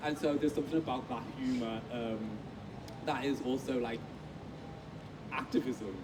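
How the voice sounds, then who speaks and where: A man's voice: medium pitch, steady tone, normal speed. A man from Liverpool talking earnestly in a casual interview on an outdoor festival stage, with many ums and restarts. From Liverpool, England. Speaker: guest creative. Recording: distant mic with street noise.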